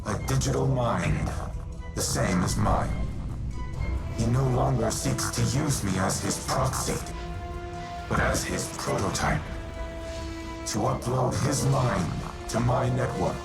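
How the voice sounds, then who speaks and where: An actor playing an ancient, grave and remorseful artificial war mind in a video game, speaking in solemn, measured, formal monologue. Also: male voice, medium pitch, gravelly. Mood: mournful, ominous. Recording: loud music under the voice.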